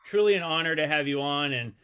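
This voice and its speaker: A man's voice: a little high, fast talker, a bit bright, smooth. A man hosting a podcast, asking questions in a friendly, enthusiastic way, with plenty of "you know" and "like". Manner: friendly, enthusiastic, talks with filler phrases. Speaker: podcast host. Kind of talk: casual interview chat.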